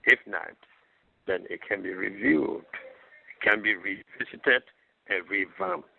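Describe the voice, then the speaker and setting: A man guest on a radio show, explaining in a deliberate, lecturing way with measured pauses. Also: lowish voice, vocal fry, breathy edge. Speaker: guest, religious leader. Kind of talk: radio interview answers. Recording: tinny phone line.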